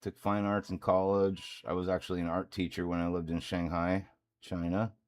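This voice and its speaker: A man's voice: low-pitched, moderate pace. A man talking casually and rambling while he paints, with frequent chuckles. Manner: relaxed, rambling, chuckles often. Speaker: miniature painter and streamer.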